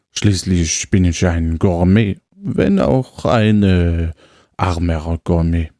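A man's voice: sits low, a little mellow, dry recording, studio quality. A man playing a genteel, food-loving gourmet cannibal who reads his diary in an affected, savouring way with French phrases, as in an audio drama.

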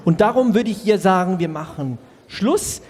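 An improv performer playing a dramatic, self-pitying show host who is crystallizing away, with giggly, drawn-out delivery in an improvised comedy radio play. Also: mid-range voice, animated, a little mellow, lightly acted. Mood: announcing. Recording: echoey hall with music, live stage.

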